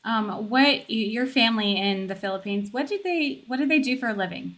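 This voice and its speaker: A woman's voice: fairly high pitch, animated, brisk, a bit bright. A woman hosting an interview podcast, moving between scripted narration and friendly conversation. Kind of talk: warm-up scripted intro, then conversational interview.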